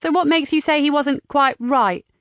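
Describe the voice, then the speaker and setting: A woman voicing a polite, probing female police doctor who speaks brightly and briskly. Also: high voice, no echo, voice pushed up. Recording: slightly dull dry recording.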